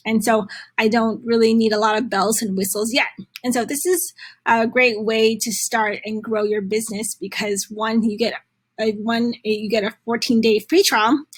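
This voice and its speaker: A woman presenting a step-by-step software tutorial in a conversational way, with halting phrasing and frequent pauses. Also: fairly high pitch.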